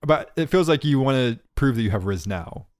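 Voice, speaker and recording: A man's voice: mid-range voice, lively intonation, fairly quick. A young man co-hosting a podcast in casual chat, laughing often and teasing his friend. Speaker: podcast co-host.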